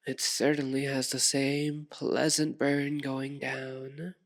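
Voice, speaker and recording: A woman playing a seductive, possessive, sadistic vampire aristocrat in an ASMR audio roleplay, with a theatrical, purring, teasing formality. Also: low-pitched. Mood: mock-hurt, menacing. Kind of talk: ASMR roleplay, dramatic monologue to listener.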